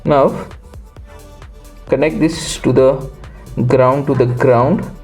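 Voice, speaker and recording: Man giving a mellow hands-on tutorial. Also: mid-range voice, fairly even intonation, slow pace, gravelly, soft background music. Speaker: electronics tutorial host. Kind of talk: unscripted step-by-step hands-on tutorial.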